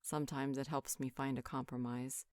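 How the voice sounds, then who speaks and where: A woman playing a calm, wise healer in an audio roleplay, talking a friend through things in a measured, reassuring, conversational way. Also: extremely low pitch, dry recording, clean studio sound. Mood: earnest, reassuring. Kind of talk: conversational roleplay monologue, one side of a dialogue.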